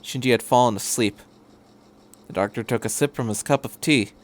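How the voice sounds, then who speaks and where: A man's voice: mid-range voice, moderate pace. A man reading a story aloud as a podcast narrator, in scripted third-person prose.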